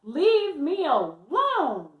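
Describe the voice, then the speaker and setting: Storybook schoolgirl, acted by a woman: discouraged, sulky, drawn-out lines, lively pitch, roomy sound. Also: narrow, tinny audio, exaggerated voice. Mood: upset, sulky. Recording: roomy, echoey indoor sound.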